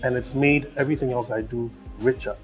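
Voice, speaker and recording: A man from Ghana, a musician and actor giving relaxed answers as an interview guest. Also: medium pitch, fairly quick, soft background music.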